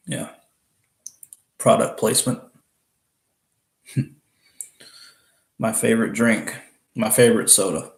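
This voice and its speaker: A deep-voiced Southern man in his twenties from Alabama, talking loosely and chattily to viewers on a live stream. His low voice has a gravelly edge and stays fairly flat, with a rambling, easygoing flow. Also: slow pace, long pauses. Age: 20s. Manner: rambling, chatty, laughs often, restarts. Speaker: livestream host. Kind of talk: casual live chat with viewers.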